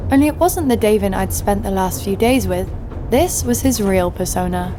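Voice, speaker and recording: A woman's voice: medium pitch, normal speed, natural voice. Woman acting a wry, defiant female thief. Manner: wry, sardonic. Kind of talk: first-person audio drama narration with acted dialogue. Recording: loud music bed under voice.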